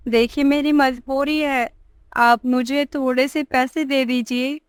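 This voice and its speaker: A woman's voice: high voice, steady tone, slow, clear, resonant tone, no room sound, clean studio sound. A woman voicing a helpless, weary young mother who pleads plaintively in a moral-story cartoon. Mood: begging. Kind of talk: acted dialogue in Hindi moral-story cartoon.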